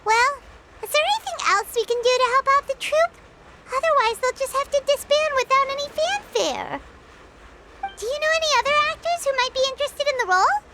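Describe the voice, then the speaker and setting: A woman's voice: very high voice, animated, fairly quick, full character voice. A woman voicing a tiny chatty female fairy companion in a video game, who is eager, pleading and talks about herself in the third person. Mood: pleading, upbeat. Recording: loud background noise.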